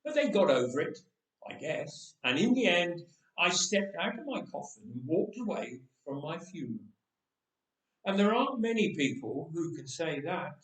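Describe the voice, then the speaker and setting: A man's voice: on the high side, sing-song, normal speed, a bit bright. A man playing a chatty, wry young man of Bible times who tells of being raised from death, in a dramatic first-person monologue. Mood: bemused. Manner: conversational, wry understatement. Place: church, voice echoing. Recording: roomy church echo, distant microphone.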